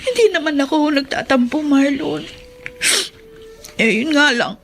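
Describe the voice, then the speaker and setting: A woman's voice: sits high, a little slow, a little hiss or hum. An actress with a Filipino accent playing a hurt, tearful young wife resentful of her mother-in-law in a radio drama. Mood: sulking, hurt.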